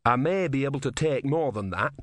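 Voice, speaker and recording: A man's voice: mid-range voice, animated, a little fast, fairly bright tone, no room sound, studio quality, voice pushed up, natural voice. A man voicing an earnest young male novice priest in an audiobook story, hesitant and plain-spoken. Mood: determined.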